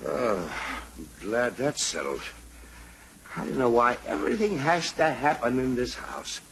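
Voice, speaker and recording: A man's voice: medium pitch, big pitch swings, measured pace. An actor playing an exasperated, weary middle-aged father, sighing and long-suffering, in an old-time radio sitcom. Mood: weary grumbling. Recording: old noisy radio broadcast.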